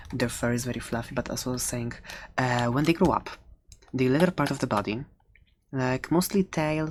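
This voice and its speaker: A woman's voice: extremely low pitch, slight background noise. A woman playing a playful, friendly dragon egg seller in an improvised ASMR roleplay, rambling hesitantly with little giggles.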